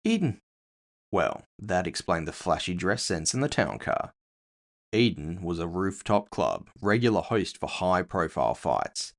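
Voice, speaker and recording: A man's voice: low voice, animated, a little slow. A man narrating scripted first-person fiction with a wry storytelling manner.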